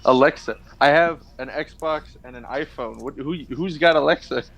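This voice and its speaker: A man's voice: a little high, creaky, fairly bright tone, highs cut off. A man in his twenties chatting and joking with friends before a tabletop game stream. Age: 20s. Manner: joking, quick back-and-forth banter. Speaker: dungeon master.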